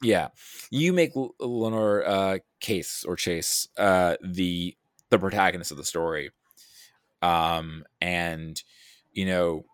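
A man talking casually about films, thinking aloud in a halting, animated way with self-quoting asides. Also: sits low, clean tone, dry recording, clean studio sound. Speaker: podcast guest discussing film. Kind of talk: casual film discussion.

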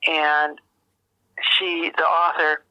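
An older woman giving a reflective interview, speaking thoughtfully and haltingly with careful phrasing. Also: low-pitched, flat delivery, bright, forceful tone, dry recording, muffled recording. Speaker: interview guest, journalist and author.

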